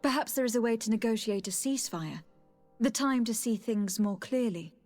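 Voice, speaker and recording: An actress playing a loyal young noble envoy in a video game, measured, formal and polite. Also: female voice, fairly high pitch, lively intonation, a little mellow, faint music behind, natural voice. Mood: calm, concerned. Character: composed, diplomatic young noblewoman, loyal envoy to her cousin. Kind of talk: video game cutscene acting.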